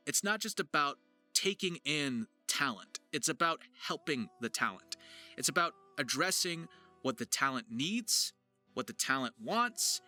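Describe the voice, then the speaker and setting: A man presenting a voice acting tutorial to viewers in an animated, enthusiastic explaining manner. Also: a little high. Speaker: voice actor, writer and video presenter. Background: music.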